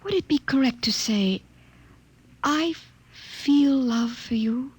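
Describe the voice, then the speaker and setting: An actress playing an earnest, troubled young woman scientist in a 1950s radio drama, with a breathy voice and halting delivery. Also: sits high, a little mellow, exaggerated voice. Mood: wondering, tender.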